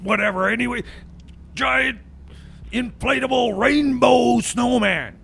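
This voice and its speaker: A man acts out a paranoid, blustering mechanic in a radio comedy bit. His voice sits high and crackles with gravelly fry over a husky rasp. He stammers, repeats himself and rants as if under siege. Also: slow, a bit bright, exaggerated voice. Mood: indignant. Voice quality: raspy, gravelly. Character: ranting paranoid holiday culture-warrior mechanic. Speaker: time machine mechanic character on a radio show. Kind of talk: improvised radio comedy skit.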